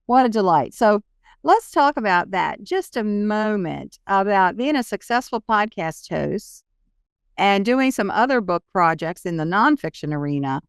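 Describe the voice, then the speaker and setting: A woman's voice: mid-range voice, very animated, unhurried, smooth, no echo, clean studio sound. A woman hosting a podcast, introducing and interviewing a guest.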